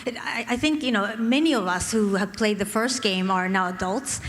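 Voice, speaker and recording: Earnest woman panelist, steady mid voice, long hall reverb. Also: medium pitch, moderate pace, slight background noise. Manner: earnest and grateful, with fillers. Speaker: panel speaker. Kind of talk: prepared panel remarks.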